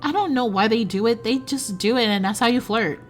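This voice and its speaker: A woman's voice: fairly high pitch, a little fast, soft background music, lightly acted. A woman voicing a blunt, hot-tempered young troll girl from a game, speaking defensively in close to her own voice.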